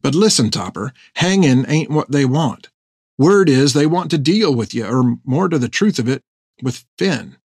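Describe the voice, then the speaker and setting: Guy doing a gruff navy sergeant in a seafaring audiobook, folksy old sailor talk, earnest, dry close sound. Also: medium pitch, animated, normal speed, darkish tone, no room sound. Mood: earnest, persuasive. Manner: folksy period seafaring dialect. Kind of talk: audiobook character dialogue.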